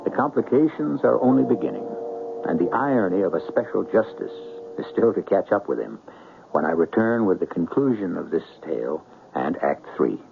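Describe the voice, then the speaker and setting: Gravelly male radio mystery host. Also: sits low, big pitch swings, phone-quality sound, background noise. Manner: measured, dramatic. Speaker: host and narrator. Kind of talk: 1970s radio mystery hosting, scripted narration. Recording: phone-thin old radio broadcast.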